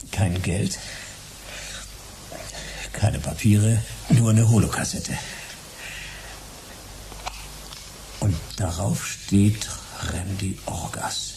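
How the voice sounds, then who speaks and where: An actor playing a hard-boiled private detective who narrates in a wry, clipped, slangy way in a radio play. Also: male voice, fairly low pitch, gravelly, background noise. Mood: intent, puzzled.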